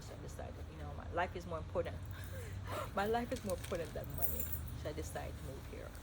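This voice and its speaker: A woman's voice: lowish voice, background noise. A middle-aged Jamaican woman with a Jamaican accent, reading self-help tips aloud and chatting about them, stopping now and then to correct herself. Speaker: vlogger.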